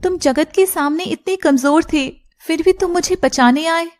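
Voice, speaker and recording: A woman's voice: extremely high pitch, moderate pace, voice pushed up. A woman voicing a young, loyal serpent woman in a cartoon, earnest and dramatic. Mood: tender, worried. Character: young female shape-shifting serpent woman, emotional and loyal. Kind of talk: Hindi cartoon character dialogue.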